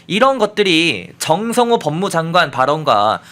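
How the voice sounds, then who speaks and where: A man's voice: a little high, sing-song, normal speed, bright, forceful tone. A man hosting a political talk show in a conversational way, introducing points and putting questions to a guest. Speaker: host.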